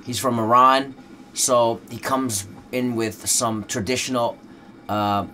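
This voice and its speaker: A Dutch rapper speaking English as a second language, chatting casually in an interview with a laid-back, loose delivery full of fillers. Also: male voice, mid-range voice, steady tone, takes it slowly, bright, forceful tone, slight background noise.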